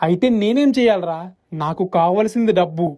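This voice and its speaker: A woman voicing a headstrong, money-hungry elder brother from a poor fishing family in an animated moral story. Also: medium pitch, fairly quick, close to an everyday voice. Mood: defiant greedy.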